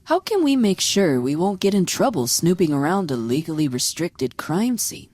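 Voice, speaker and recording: A woman acting as a sharp young space trainee in an audio drama, with a mellow, dark voice. She questions directly and businesslike, with lively pitch, recorded close and dry. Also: moderate pace, no room sound. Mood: wary.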